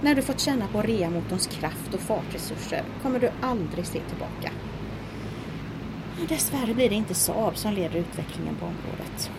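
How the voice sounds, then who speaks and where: A woman's voice: mid-range voice, lively intonation, vocal fry, very noisy. An actress playing a knowing, enthusiastic young woman engineer in an audio drama. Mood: earnest. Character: clever young Swedish woman engineer, spirited.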